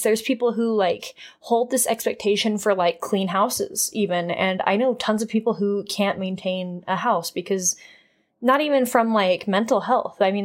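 A young woman chatting on a podcast in a loose, rambling way, restarting her thoughts and dropping swear words without pause. Her pitch sits in the middle of the range and her delivery is unpolished. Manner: rambling, candid, swears freely, trails off and restarts. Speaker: podcast co-host. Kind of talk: casual podcast chat.

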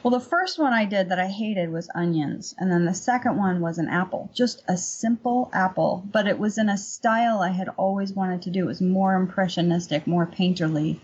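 Woman, middle-aged, husky mid-pitched voice, informal conversational interview guest, slightly dull sound. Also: moderate pace, few pauses, a little mellow. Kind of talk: casual conversational storytelling and explanation. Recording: dull lo-fi remote audio.

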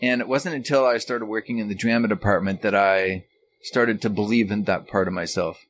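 A man's voice: on the low side, a little fast. A man chatting casually as a guest author, joking and self-deprecating. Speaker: author, former radio technician. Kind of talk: casual chat. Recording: noticeable background noise.

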